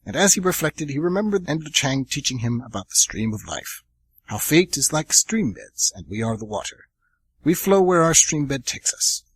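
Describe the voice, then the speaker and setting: Lively, husky-voiced male storyteller. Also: on the high side, moderate pace, no echo, poor audio. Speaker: radio drama narrator. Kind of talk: scripted storybook narration.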